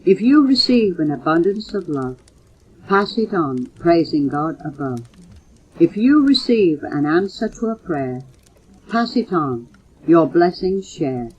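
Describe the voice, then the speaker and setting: Older female poetry reader, low pitch, unhurried earnest delivery, dull lo-fi home tape sound. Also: very animated, darkish tone, husky. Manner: measured, earnest recitation, announcing titles and authors. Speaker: mother reading poems for her children. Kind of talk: recited poetry reading. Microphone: home cassette recorder. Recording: muffled old home audio cassette.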